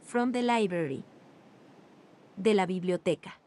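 Very slow-speaking woman reading drills. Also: mid-range voice, lively intonation, warm tone. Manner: reads each phrase slowly with long pauses. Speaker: language teacher. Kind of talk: slow repeated phrase drills.

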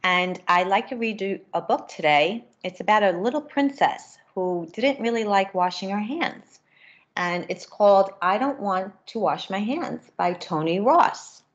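A woman's voice: medium pitch, animated, measured pace. Middle-aged female teacher, children's read-aloud, lo-fi home webcam recording. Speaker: school teacher reading aloud. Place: bright room at home. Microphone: built-in webcam mic at arm's length. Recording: dull lo-fi webcam sound.

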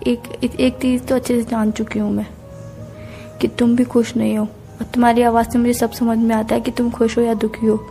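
A woman's voice: on the high side, little pitch movement, fairly quick, mellow. A young woman speaking plaintively in an emotional private phone conversation. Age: young adult. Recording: phone call recording with music. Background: music.

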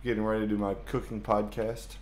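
A man's voice: fairly low pitch, little pitch movement, quick pace, a little mellow. A man joking and swearing casually while playing a horror game with friends. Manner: joking, profane. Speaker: video game player. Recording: echoey voice chat with faint noise.

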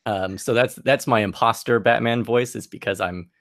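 A man's voice: mid-range voice, normal speed, fairly bright tone, no echo, studio quality. A young man chatting casually in an interview about his voice acting. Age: young adult. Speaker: student voice actor. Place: bedroom. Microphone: close desk microphone.

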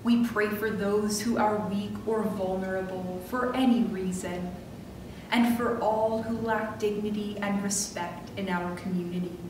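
A middle-aged woman minister leading a scripted prayer in a measured, solemn way, phrase by phrase, from a church pulpit. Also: mid-range voice, steady tone. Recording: roomy distant church recording with clear noise.

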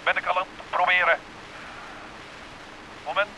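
A terse diver in a vintage radio drama, reporting in short lines. His voice is high and sharp. A faint hiss runs under the old recording. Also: little pitch movement, normal speed, poor audio. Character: terse male diver in a diving suit. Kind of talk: vintage Dutch radio play.

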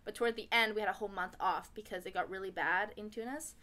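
A woman's voice: mid-range voice, steady tone, quick pace, sharp tone. A young adult woman co-hosting a podcast, telling stories in a casual, playful, giggly way. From born in Kuala Lumpur, Malaysia. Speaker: podcast co-host. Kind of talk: casual chat, storytelling.

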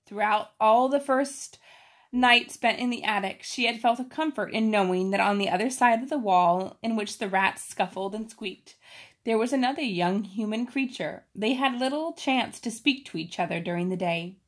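A woman's voice: fairly high pitch, moderate pace. Woman reading a story aloud, explaining simply. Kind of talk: read-aloud storytelling with casual explanatory asides.

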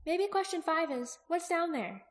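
A woman voicing an excitable, quick-witted young female character in a fantasy audiobook, speaking with emphatic expression. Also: very high voice, voice pushed up. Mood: urgent, inquisitive. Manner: exclaiming, emphatic.

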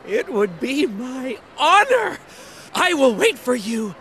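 A man's voice: very high voice, sing-song, extremely slow, sharp tone, a little hiss or hum, heavily acted. An actor playing a naive, eager young rookie policeman, starstruck and goofy, in a cartoonish video game. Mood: honoured.

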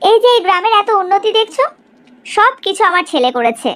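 A woman voicing a proud, sharp-tongued middle-aged village mother in a cartoon story, in an exaggerated, gossipy way. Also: extremely high pitch, big pitch swings, fairly quick, exaggerated voice. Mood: boastful, reproachful. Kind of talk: Bengali cartoon story dialogue.

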